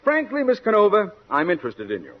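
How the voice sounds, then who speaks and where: Wildly swooping, mannered gentleman. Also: high-pitched, wildly swooping pitch, quick pace, exaggerated voice. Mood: patronizing, intrigued. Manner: mannered, patronizing, formal. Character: effusive, swooping gentleman talent-spotter. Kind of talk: 1940s radio comedy acting. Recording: dull old radio recording, audience.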